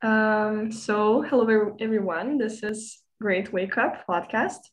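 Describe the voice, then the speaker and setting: A woman's voice: medium pitch, slow. A nineteen-year-old woman from Lithuania hosting a casual online podcast chat, friendly and a little hesitant, speaking over a video call. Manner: friendly, hesitant with many ums. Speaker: podcast host.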